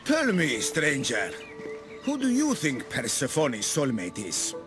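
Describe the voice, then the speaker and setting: A man's voice: mid-range voice, wildly swooping pitch, slow pace. An actor playing an opinionated, effusive male devotee in a video game, with theatrical delivery. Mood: fervent. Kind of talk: video game cutscene acting. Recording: music behind the voice.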